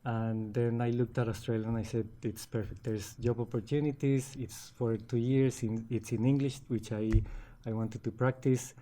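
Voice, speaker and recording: Halting Colombian-accented man, mellow voice. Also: mid-range voice, level tone. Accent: Colombian Spanish. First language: Spanish. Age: 40s. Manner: restarts words often, halting and earnest. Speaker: guest. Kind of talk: casual interview conversation.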